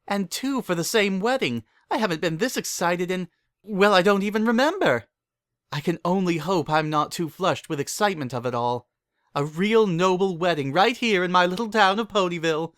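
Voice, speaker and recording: A man's voice: high voice, expressive, moderate pace, a bit bright, no room sound, clean studio sound, voice pushed up. A man voicing a giddy, refined female pony dressmaker with a gushing, theatrical manner in a cartoon fan story. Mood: giddy excitement.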